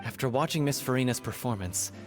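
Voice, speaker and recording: A man's voice: medium pitch, a little fast, lightly acted. A man playing an earnest, hopeful young stage performer in a video game. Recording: music behind the voice.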